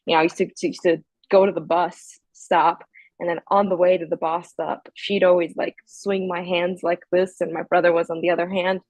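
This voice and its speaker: A woman of about thirty from Guatemala, talking in an upbeat, energetic way on a video call while on a treadmill. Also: on the low side, normal speed, no room sound, poor audio. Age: around 30. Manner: upbeat, energetic, pep-talk delivery. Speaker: sales call participant. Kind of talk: casual motivational group call.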